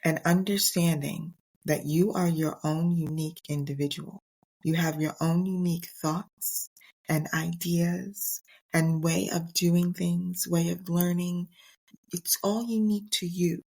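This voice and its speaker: A middle-aged woman hosting a motivational podcast on her own, speaking earnestly and emphatically in short, deliberate phrases. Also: lowish voice, fairly even intonation, vocal fry, darkish tone, low-quality recording. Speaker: podcast host. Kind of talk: motivational solo podcast talk.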